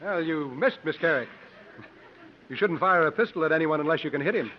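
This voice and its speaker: An actor playing a roguish, unruffled adventurer with an urbane, dryly formal manner in 1950s radio acting. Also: male voice, a little high, lively intonation, brisk, close to an everyday voice. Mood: cool, mocking. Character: suave, sardonic, roguish male adventurer, cool under fire. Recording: dull, noisy 1950s radio recording.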